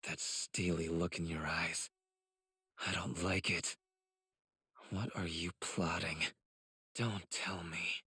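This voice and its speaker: A cold, controlling young male demon from an anime game. The voice is low, heavily husky and breathy, recorded dry. He talks down to his prey with quiet, deliberate menace. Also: steady tone, dry recording, heavily acted. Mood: threatening. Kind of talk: English anime game voice acting.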